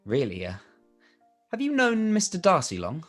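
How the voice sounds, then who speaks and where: A man playing a charming, wronged young officer in a period radio play, confiding and measured, with music behind. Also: high voice, huge pitch swings, exaggerated voice. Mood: polite, probing. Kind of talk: live-read period radio play acting.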